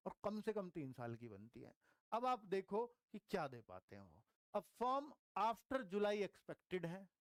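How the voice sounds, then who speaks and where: Male exam coach, high-set voice, conversational explainer delivery with repeated key points, dry sound. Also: smooth, no room sound. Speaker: exam coach teaching online. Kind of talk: informal explanatory lecture to students.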